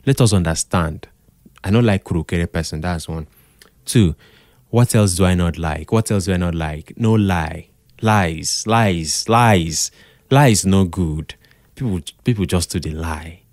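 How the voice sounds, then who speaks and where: A Nigerian man talking casually as an interview guest, expressive, slipping into Pidgin and repeating phrases for emphasis. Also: low voice, measured pace, no room sound, clean studio sound. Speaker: podcast guest, radio drama producer.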